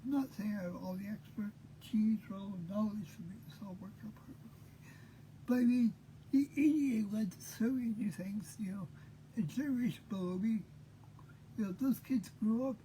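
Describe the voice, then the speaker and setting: A man in his fifties hosting an unscripted monologue, talking haltingly with many pauses, tired and short of breath. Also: high-pitched, dark tone, a little hiss or hum, rough recording. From Maine. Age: 50s. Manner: halting, full of pauses and fillers. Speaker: host.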